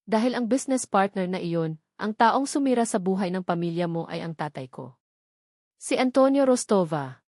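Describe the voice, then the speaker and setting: A woman's voice: mid-range voice, expressive, normal speed, a little mellow, no echo, studio quality. A woman voicing a taunting, triumphant villainess in a dramatic romance story, mocking and cruel. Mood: cruel, gloating.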